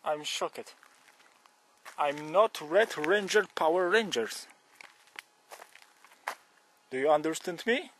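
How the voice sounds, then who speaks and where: Slow playful man with a Polish accent. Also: on the high side, lively intonation, a bit bright, a little hiss or hum. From Poland. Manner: playful, broken English, slow and exclaiming. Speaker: cyclist vlogger. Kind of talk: joking phone-call vlog. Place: outdoors on a country cycle path. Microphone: action camera on helmet or bike.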